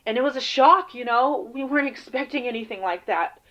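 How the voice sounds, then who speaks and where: A woman playing a weary, defensive field agent in an audio drama interview, speaking haltingly and casually. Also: a little high, a bit bright, muffled recording, lightly acted. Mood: guarded, weary.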